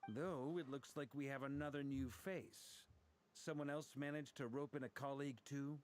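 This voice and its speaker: A man's voice: mid-range voice, steady tone, moderate pace, lightly acted. A man playing a wry, articulate, sociable young man in a video game. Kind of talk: video game character dialogue. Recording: faint noise from game stream.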